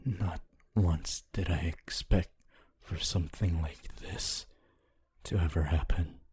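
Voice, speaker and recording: A man voicing a gentle, wistful ghost of a long-dead scholar in a slow, formal, breathy ASMR roleplay monologue. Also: heavily acted. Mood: tender wonder. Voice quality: low, breathy, husky. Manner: slow, deliberate, formal phrasing with long pauses.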